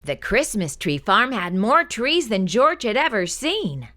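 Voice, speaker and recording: A woman hosting a children's storytime, reading a picture book aloud in an expressive, sing-song way. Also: on the high side, measured pace.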